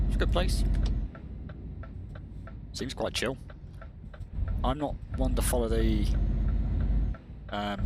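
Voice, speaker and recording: Chatty low-voiced streamer over engine noise. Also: male voice. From England. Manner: rambling, chatty, reads chat aloud. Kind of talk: casual gaming stream chat. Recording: loud game engine noise behind. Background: truck engine noise from the game.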